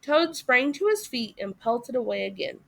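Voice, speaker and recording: A woman reading a story aloud as expressive narration for children. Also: a little high, moderate pace, creaky. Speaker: story reader.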